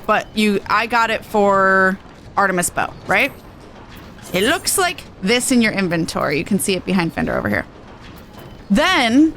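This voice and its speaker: A woman's voice: medium pitch, a bit bright, a little hiss or hum. A woman game streamer giving a casual walkthrough in a chatty, slangy, informal way. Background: game music.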